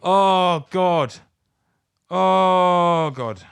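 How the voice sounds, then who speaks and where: A man's voice: sits high, very slow, vocal fry, clear, resonant tone, dry recording. A British man co-hosting a casual comedy podcast, ranting bluntly with exasperation and plenty of swearing. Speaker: podcast co-host.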